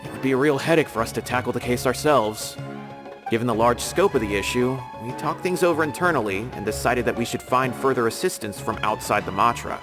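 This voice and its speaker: A man's voice: mid-range voice, fairly quick, natural voice. A man as a courteous, formal academy official. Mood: polite. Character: polite, earnest male academy official. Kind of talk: video game voice acting. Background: game music.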